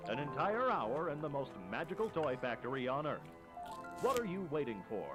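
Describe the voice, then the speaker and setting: An enthusiastic announcer in an old toy commercial. The fairly high voice is showy and very animated, pitching over loud music. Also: male voice, normal speed. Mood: upbeat. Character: upbeat male toy factory advert announcer.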